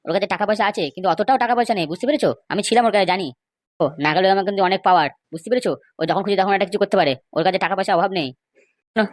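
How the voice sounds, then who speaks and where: A man's voice: high voice, no room sound, lightly acted. A man with a West Bengal accent voicing argumentative, indignant men in an amateur comedy cartoon, scolding and insistent. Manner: scolding, insistent, rhetorical questions.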